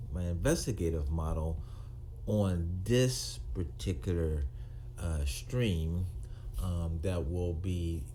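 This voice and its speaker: Slow, deep-voiced man in his sixties. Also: mellow, a little hiss or hum. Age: 60s. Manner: halting, with frequent ums and restarts. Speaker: retired police officer turned video maker. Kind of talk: unscripted monologue to camera.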